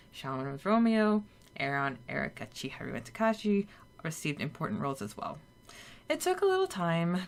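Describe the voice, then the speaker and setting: A woman hosting a podcast, chatting with fillers and then reading a summary steadily. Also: fairly low pitch. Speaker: podcast co-host. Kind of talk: casual chat and read chapter summary.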